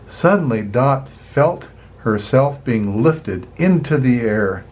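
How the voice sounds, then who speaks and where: An older man reading a children's storybook aloud in an expressive, unhurried way, adding chatty little asides. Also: mid-range voice. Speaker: grandparent reading picture books aloud.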